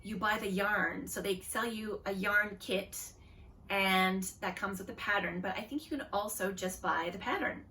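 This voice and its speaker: A woman's voice: mid-range voice, normal speed, bright, forceful tone, recorded from a distance, slight background noise. A woman hosting a knitting podcast, chatting casually in a rambling way with lots of fillers and self-deprecating asides. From Canada. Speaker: podcast host. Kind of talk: casual chat.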